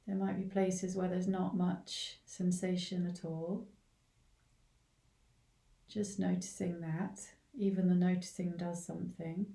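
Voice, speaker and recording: A woman leading a guided body scan meditation in a gentle, unhurried way, leaving long pauses between short phrases. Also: lowish voice, level tone, gravelly. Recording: roomy, echoing, distant from the mic.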